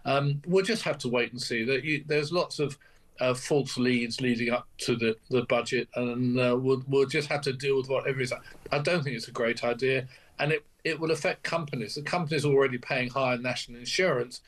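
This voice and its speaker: A man's voice: mid-range voice, steady tone, fairly quick. Older British man, candid doctor. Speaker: doctor, interview guest. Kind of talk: broadcast interview, conversational opinion.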